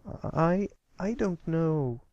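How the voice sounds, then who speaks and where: Reluctant young pony colt, man acting, timid, stammering, somewhat higher pitch, cartoon storybook. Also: expressive, extremely slow, a little mellow, no room sound, dull audio, heavily acted. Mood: hesitant. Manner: hesitant, stammering.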